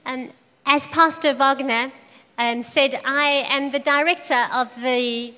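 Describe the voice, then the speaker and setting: A middle-aged South African woman giving a church address in short, simple phrases, pausing for an interpreter. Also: high voice, fairly even intonation, pushed and bright. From South Africa, Johannesburg. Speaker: guest speaker, charity program director. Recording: echoey hall with long reverb.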